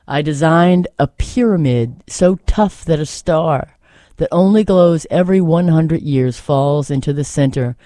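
A man's voice: mid-range voice, darkish tone, dry recording, studio quality. A man hosting in a reflective, casual way, talking and reading poetry aloud, repeating phrases for emphasis. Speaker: host reading poems aloud.

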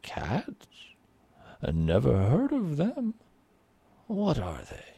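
A man's voice: fairly high pitch, wildly swooping pitch, slow pace, vocal fry, warm tone, breathy edge, no room sound, voice pushed up, full character voice. A man voicing a grateful island king in a told folk tale, speaking with formal, courtly phrasing.

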